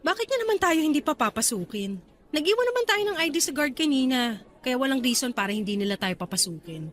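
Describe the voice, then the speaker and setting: Casting: feisty, protective young Filipino aunt, high voice, expressive radio drama acting. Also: female voice, fast talker. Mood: chatty. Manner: expressive, talks back.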